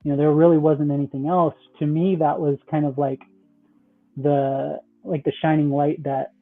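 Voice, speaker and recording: A man's voice: on the high side, flat delivery, moderate pace, soft background music. A man talking as a podcast guest in casual conversation, rambling with lots of fillers and tag questions. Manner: rambling, many "like", "you know" and "right?". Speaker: guest, metaverse project founder. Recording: tinny phone-like call audio.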